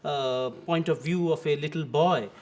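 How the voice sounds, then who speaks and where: High-voiced Indian male host. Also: darkish tone. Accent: Indian English. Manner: formal, measured, with fillers when asking. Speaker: interviewer, host. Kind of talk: formal introduction and interview questions.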